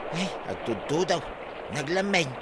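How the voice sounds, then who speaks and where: A grumbling, reluctant Ilocano Filipino husband in a village radio comedy , played by a man. His voice sits a little high, against clear outdoor noise. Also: sing-song, a little slow.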